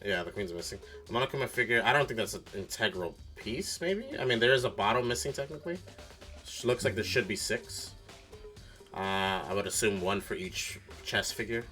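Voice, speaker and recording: A man's voice: fairly low pitch, animated, a little fast, bright, forceful tone, faint music behind. A man streaming a game with casual commentary, reasoning aloud in a blunt, speculative way with casual swearing. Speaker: streamer playing a mystery game.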